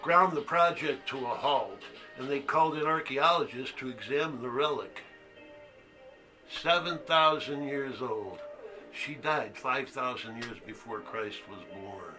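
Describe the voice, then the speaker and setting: A man's voice: medium pitch, measured pace, sharp tone, soft background music, rough recording. An actor playing a crude, haunted man who halts and confides as he tells a story in a stage monologue. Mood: confiding, intense. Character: crude, haunted man recounting a boyhood secret. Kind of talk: one-act monologue, storytelling.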